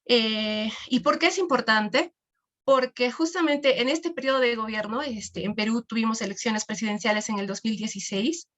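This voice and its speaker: A woman from Peru with a Peruvian accent, giving an academic research presentation over a video call, halting, with many "este" fillers and tag questions. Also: a little high, a bit bright. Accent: Peruvian Spanish.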